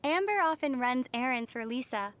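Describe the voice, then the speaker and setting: A young female traveler, the heroine of a video game. The voice is high and youthful, with a measured, playful delivery and a wry, gently teasing temper. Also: expressive, smooth.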